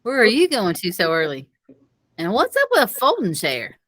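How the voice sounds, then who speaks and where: A woman voicing an inquisitive, suspicious schoolboy with expressive, questioning delivery in a read-aloud children's story. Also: medium pitch, moderate pace. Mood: nosy, suspicious. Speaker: co-reader of a children's novel.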